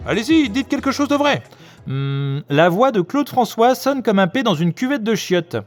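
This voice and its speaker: An actor playing a playful, bumbling police inspector, excitable and easily flustered, in a comedic audio drama. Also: male voice, high-pitched, normal speed, soft background music. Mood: instructive.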